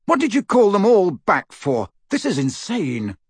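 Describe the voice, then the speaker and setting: A harried teenage boy hero, played by a male audiobook reader, burdened and evasive. The voice is husky and urgent, swinging widely in pitch. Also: wildly swooping pitch, unhurried, dry recording, clean studio sound, heavily acted. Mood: exasperated. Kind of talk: audiobook character voice.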